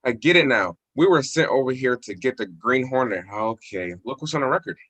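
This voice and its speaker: A man's voice: medium pitch, expressive, brisk, fairly bright tone, slightly rough. A man playing a brash, slangy policeman in an old-time radio drama. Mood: excited.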